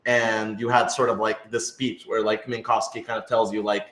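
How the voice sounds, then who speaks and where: A man chatting casually and thinking aloud as a writer answering questions on a panel, in an animated, informal way. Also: on the low side, moderate pace. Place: echoey room, live streamed panel. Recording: roomy, echoey sound.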